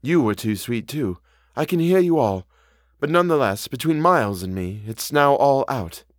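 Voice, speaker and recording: Man as intense, resolute governess, animated delivery. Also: mid-range voice, moderate pace, no room sound, studio quality, voice pushed up, natural voice. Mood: resolved. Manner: insistent, decisive. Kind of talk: audiobook character dialogue.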